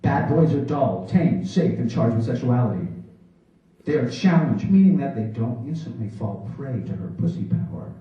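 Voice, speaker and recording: A man's voice: mid-range voice, big pitch swings, normal speed. A man giving a theatrical live poetry reading on stage, with a mock-serious, declamatory delivery. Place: small theater in New York, before a laughing audience. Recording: echoey hall, distant dull microphone.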